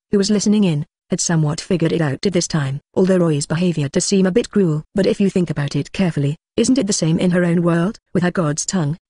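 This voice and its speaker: A narrator reads a translated web novel as an audiobook, giving the narration and the dialogue alike in one steady voice. Punctuation marks are sometimes spoken aloud as words. The sound is dry and close, in a middle register. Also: medium pitch, no room sound.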